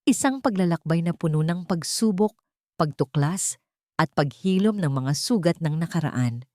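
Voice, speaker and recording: A woman's voice: lowish voice, animated, moderate pace, a little mellow, dry recording, clean studio sound. A woman reading a scripted love story as an audiobook narrator.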